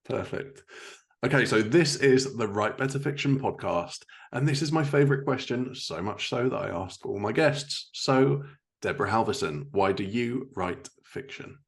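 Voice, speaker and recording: A man's voice: mid-range voice, expressive, moderate pace, creaky. A man speaking casually as a podcast host, chatting with a guest in an interview.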